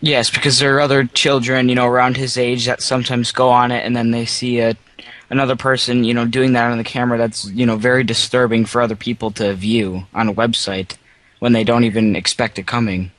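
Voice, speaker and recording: A man's voice: mid-range voice, little pitch movement, a little fast, fairly bright tone, natural voice. A man playing an earnest website manager on a prank phone call, explaining things and repeating words for emphasis. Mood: earnest, explanatory. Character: earnest male website manager reporting user complaints. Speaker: prank caller.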